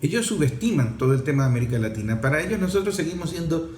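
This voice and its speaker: A man's voice: a little high, sing-song, very fast, a little mellow. A man giving unscripted political commentary in an emphatic, opinionated and explanatory manner. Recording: echoey room, faint background noise.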